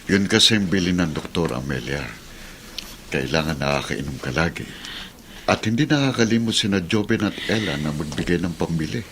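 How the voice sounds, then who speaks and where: An actor playing a kindly, devout older uncle in a Filipino radio drama, speaking in a gentle, solemn, reassuring way. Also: male voice, sits low, expressive, a little fast, slight background noise. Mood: gentle gratitude.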